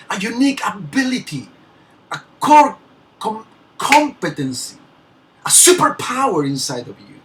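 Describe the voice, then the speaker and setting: Slow, emphatic Peruvian Spanish-accented motivational speaker. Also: mid-range voice, recorded from a distance, slight background noise, low-quality recording. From Peru. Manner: earnest and emphatic, with frequent small laughs and restarts. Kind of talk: motivational speech.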